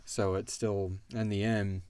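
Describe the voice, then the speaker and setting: Low-voiced man, casual and dry-miked. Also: a little slow, dry recording. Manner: animated retelling, acting out characters' lines. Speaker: podcast host and audio drama creator. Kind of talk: casual chat answering listener questions.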